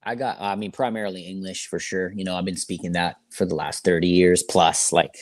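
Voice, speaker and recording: Man, low deep voice, rapper guest, casual slangy interview talk, dry close sound. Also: steady tone, fairly quick, no echo. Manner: laid-back slangy talk, frequent "you know".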